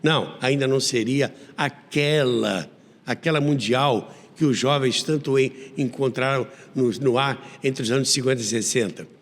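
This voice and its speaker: A man's voice: medium pitch, normal speed, in a large hall, slight background noise. A Brazilian man hosting a history program, narrating in a conversational storyteller's way with wry, theatrical asides. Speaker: host of a radio history show.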